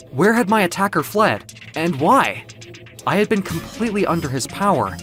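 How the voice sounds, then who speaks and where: Guy telling a dark story in first person, really dramatic, swoops around, bit of music underneath. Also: a little high. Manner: theatrical, expressive, confiding. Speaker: narrator. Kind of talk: dramatic first-person audio fiction narration.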